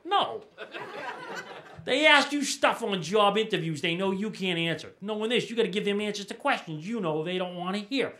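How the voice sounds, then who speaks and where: A middle-aged man doing an energetic, punchy stand-up set on a small TV studio stage, into a handheld mic. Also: high-pitched, normal speed. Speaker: stand-up comedian. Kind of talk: stand-up routine.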